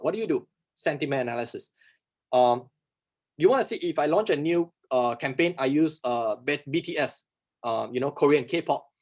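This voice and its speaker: Man, non-native English speaker, workshop instructor, rapid delivery, slightly high voice. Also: a little mellow. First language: not English. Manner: fast, emphatic, rhetorical questions and repeated words. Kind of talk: answering audience questions in a workshop.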